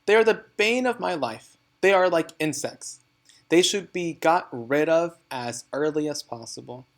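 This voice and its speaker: A man's voice: fairly high pitch, animated, a little slow, voice pushed up. A man voicing a tyrannical, child-hating woman headmistress in a storybook, pompous, emphatic and barking. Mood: scornful relish.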